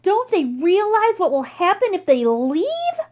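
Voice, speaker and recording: A woman's voice: very high voice, wildly swooping pitch, measured pace, darkish tone, pitched above the performer's own voice, full character voice. A woman voicing a stern, worried male carrot captain in a storybook, with a concerned, admonishing manner.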